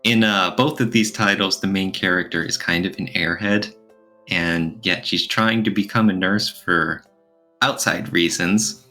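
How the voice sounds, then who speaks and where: A man's voice: low-pitched, a little slow, pushed and bright, faint music behind. A man co-hosting a podcast, talking casually in a thoughtful, explanatory way. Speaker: podcast co-host. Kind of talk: casual podcast discussion, reviewing.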